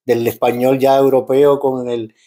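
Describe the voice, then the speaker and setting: Man in his fifties, Cuban accent, mid-pitched voice, interview guest, switches between Spanish and Portuguese, restarts often. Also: flat delivery, a little slow, warm tone. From Cuba. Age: 50s. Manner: mixes Spanish and Portuguese, frequent restarts and tag questions. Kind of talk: casual interview talk.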